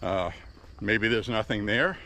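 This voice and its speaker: Slow-spoken man walking a country road. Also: on the low side, pushed and bright, slight background noise. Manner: slow, deliberate radio-trained delivery. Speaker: walking vlogger, former radio host. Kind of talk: casual walking vlog monologue. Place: outdoors on a quiet country road. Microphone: Bluetooth earpiece. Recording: faint crickets outdoors. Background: crickets and insects.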